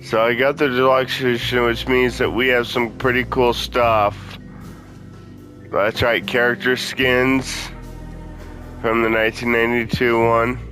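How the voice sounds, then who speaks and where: A man's voice: mid-range voice, little pitch movement, a little slow, sharp tone, faint music behind. A man hosting a gaming video with casual, chummy, slangy commentary addressed to his viewers. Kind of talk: casual let's play commentary.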